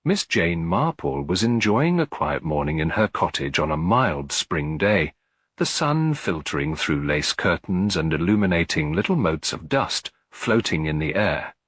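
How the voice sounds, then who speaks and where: Deep-voiced man narrating a mystery story. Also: sing-song, measured pace, fairly bright tone, breathy edge, no echo, studio quality. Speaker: audiobook narrator.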